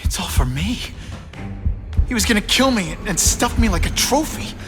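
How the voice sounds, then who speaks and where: An actor playing an earnest, wisecracking young superhero in a video game, with a youthful, quippy delivery. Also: male voice, high-pitched, sing-song, moderate pace. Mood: brooding. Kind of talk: video game hero acting. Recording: music behind. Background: music.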